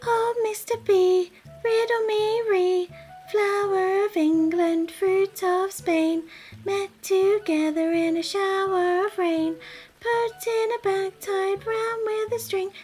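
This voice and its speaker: A woman's voice: very high voice, steady tone, takes it slowly, a little mellow, soft background music, pitched above the performer's own voice. A woman voicing an impertinent young male squirrel from a storybook, chanting riddles in a teasing sing-song. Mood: rude, sing-song.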